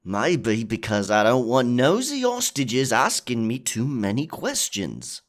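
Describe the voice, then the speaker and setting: A man's voice: medium pitch, wildly swooping pitch, slow pace, heavily acted. A man playing a roguish, guarded pirate in an audio drama, with a sly, curt way of talking. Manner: sly, teasing, curt when pressed. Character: Grayson, roguish guarded male pirate from London's gutters.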